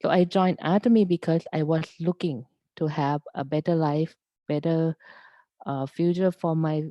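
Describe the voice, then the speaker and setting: A woman from Thailand, earnestly presenting her personal plans on a video call and often pausing to find her words. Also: deep voice, steady tone, dry recording. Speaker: meeting presenter. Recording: dull lo-fi call audio.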